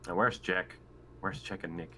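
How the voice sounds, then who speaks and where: A man's voice: deep voice, steady tone, normal speed, pushed and bright, slight background noise. A man playing a tabletop roleplaying game, talking casually as he thinks through what to do.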